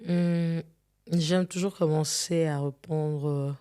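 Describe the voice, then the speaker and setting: A middle-aged woman from Congo, a gospel singer, giving an earnest and measured testimony as an interview guest, sometimes repeating words as she searches for them. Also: deep voice, fairly even intonation, warm tone, smooth. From Democratic Republic of the Congo.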